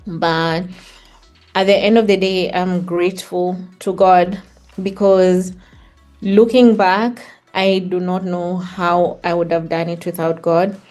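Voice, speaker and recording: A middle-aged woman with a Kenyan accent speaks in a slightly low voice. She tells personal stories reflectively, in a conversational way, as an interview guest. Faint music sits underneath. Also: little pitch movement, slow pace, darkish tone.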